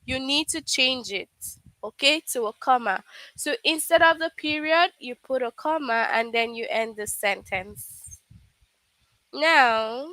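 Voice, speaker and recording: A woman's voice: sits high, measured pace, bright, forceful tone, dry recording, rough recording. A woman teaching a live online grammar lesson, patiently checking understanding with frequent "okay?".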